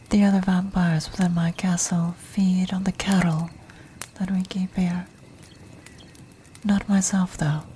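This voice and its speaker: A woman voicing an ancient, commanding vampire matriarch in a whispered ASMR fantasy roleplay, refined, breathy and formal. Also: lowish voice, dark tone, a little hiss or hum. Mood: gracious, connoisseurial. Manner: formal, measured, aristocratic.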